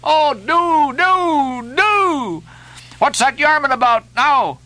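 A man voicing an excitable, greedy whaling mate in a storybook, begging his crew with frantic, repeated exclamations. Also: very high voice, slow pace, slight background noise, voice pushed up, heavily acted. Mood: frantic pleading. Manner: breathlessly begging, exclamatory, repetitive. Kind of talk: storybook character voice in a novel reading.

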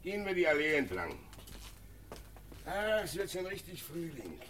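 Dignified fatherly old jurist, animated melody. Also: on the high side. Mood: calm, reassuring. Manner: measured, didactic, formal. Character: fatherly, dignified elderly German jurist, patient mentor. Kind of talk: German radio drama acting. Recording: faintly noisy radio drama recording.

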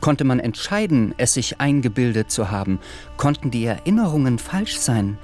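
A man narrating a radio drama in a calm, literary storytelling manner. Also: mid-range voice, moderate pace. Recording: music underneath.

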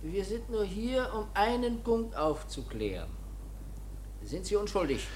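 An actor playing an imperious, sardonic examining judge in a German radio drama, curt and condescending. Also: male voice, high-pitched, big pitch swings, a little slow, darkish tone, a little hiss or hum. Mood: measured.

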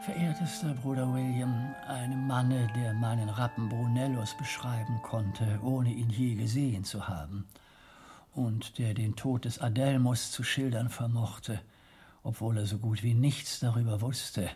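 An actor playing a stern, pious old medieval abbot in a German radio drama, speaking in a formal, rhetorical and measured way. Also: male voice, mid-range voice, fairly even intonation, over music, natural voice. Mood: formal, courteous.

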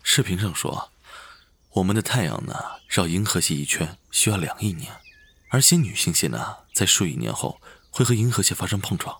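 An actor playing an affectionate, teasing young man in love with his boyfriend, in an intimate, breathy romantic audio drama style. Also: low-pitched, animated, moderate pace, fairly bright tone, heavily acted. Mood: tender, earnest. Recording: music behind the voice.

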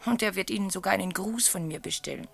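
An actress playing a sorrowful, anxious young wife in a radio play, hesitant and plaintive. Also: female voice, medium pitch, fast, vocal fry, a bit bright, husky, soft background music. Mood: troubled, resigned. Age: young adult.

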